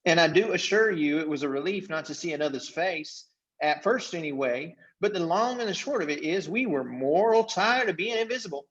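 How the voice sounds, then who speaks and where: A man voicing a blustering, folksy invisible chief who rambles in rough rustic grammar, in a storybook reading. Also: a little high, expressive, brisk, a bit bright, lo-fi sound, pitched above the performer's own voice. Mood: earnest, complaining.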